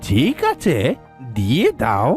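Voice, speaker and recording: A woman's voice: extremely low pitch, wildly swooping pitch, slow pace, darkish tone, faint music behind, heavily acted. A woman playing a greedy, scheming man in a cartoon folk tale. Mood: greedy, calculating.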